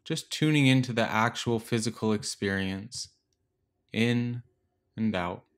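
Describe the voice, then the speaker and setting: Male meditation guide, fairly low voice, gentle instructional delivery with spacious pauses. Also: smooth.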